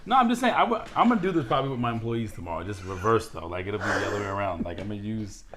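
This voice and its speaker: A man's voice: on the low side, big pitch swings, very fast, heavy background noise. A man co-hosting a casual podcast, joking and provocative, teasing the others playfully. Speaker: podcast co-host.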